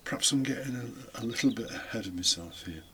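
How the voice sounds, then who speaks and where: A man's voice: mid-range voice, lively intonation, a little fast, pushed and bright. A British man giving an informal, reflective homily, explaining things with conversational asides. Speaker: homily podcast host, deacon. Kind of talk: informal homily, partly scripted. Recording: faint background noise.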